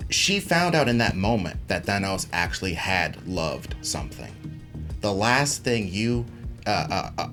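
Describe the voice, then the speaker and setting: A man's voice: sits low, a bit bright. A man debating casually as a contestant, making his points with emphatic, rhetorical phrasing. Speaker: debate contestant and stand-up comedian.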